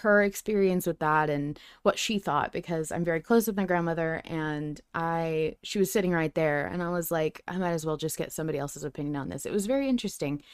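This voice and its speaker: A woman's voice: deep voice, brisk, few pauses, clean tone, no room sound, studio quality. A woman co-hosting a podcast, chatting casually and informally, often dropping in "like" as she goes. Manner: informal, peppered with "like". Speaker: podcast co-host.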